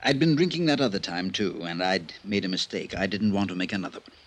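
Deep, husky, worn-out village doctor. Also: male voice, quick pace. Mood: remorseful. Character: harried, worn-out village doctor under sixty, remorseful drunk. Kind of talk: old time radio drama acting.

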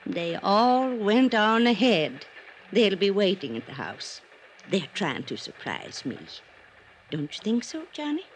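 An actress playing a frail, dreamy widow in an old-time radio drama. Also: female voice, mid-range voice, sing-song, a little slow. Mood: wistful, hopeful. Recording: vintage radio recording, background noise, distant.

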